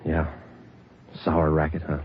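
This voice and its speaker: An actor playing a terse, relentless police sergeant with clipped deadpan delivery in a 1940s radio drama. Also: male voice, a bass voice, slow, loud music behind the voice. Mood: casual, weary. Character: terse, relentless male police sergeant, dry and matter-of-fact. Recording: dull old 1940s radio recording.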